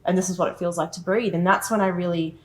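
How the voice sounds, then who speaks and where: A woman's voice: lowish voice, fairly even intonation, quick pace. A woman in her late twenties from Western Sydney with an Australian accent, speaking as an interview guest, open and reflective, laughing easily. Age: 20s. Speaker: yoga teacher and guest. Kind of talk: casual interview chat. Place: studio lounge.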